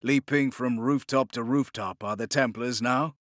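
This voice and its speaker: A man's voice: mid-range voice, normal speed, no room sound, clean studio sound, close to an everyday voice. A man playing a proud, ambitious male assassin turning traitor in an audiobook, with a terse, guarded, menacing manner.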